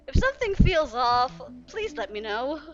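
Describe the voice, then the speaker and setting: A woman's voice: high-pitched, sing-song, moderate pace, a bit bright, dull audio, soft background music. A woman giving casual, reactive gameplay commentary, expressively talking back to the game's characters. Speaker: gaming streamer.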